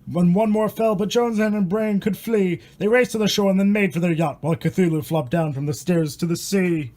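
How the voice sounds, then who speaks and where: A man reading a rhyming horror story aloud in a dramatic, irreverent way, stumbling over words and swearing in casual asides. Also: a little high. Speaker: amateur storyteller and host.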